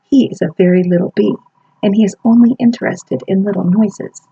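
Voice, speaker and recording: A woman's voice: medium pitch, fairly even intonation, fairly quick, creaky, warm tone, slightly rough, dry recording, lo-fi sound. A woman voicing a wise old male groundhog who patiently explains. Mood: knowing.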